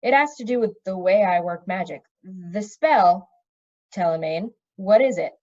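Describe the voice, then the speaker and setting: Storybook king, played by a female reader: impulsive, urgent, plain-spoken, wide pitch swings, slightly dull sound. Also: expressive, measured pace, darkish tone, lightly acted. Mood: cautious, pressing.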